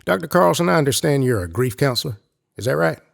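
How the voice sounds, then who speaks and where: A man's voice: fairly high pitch, animated, fast, gravelly, a little hiss or hum. A man playing a brusque, impatient, weary special agent in an audio drama, curt and skeptical. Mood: skeptical, measured.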